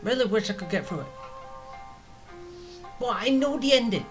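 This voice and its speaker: A game streamer giving casual commentary in a halting, self-deprecating way. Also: medium pitch, lively intonation. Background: game music.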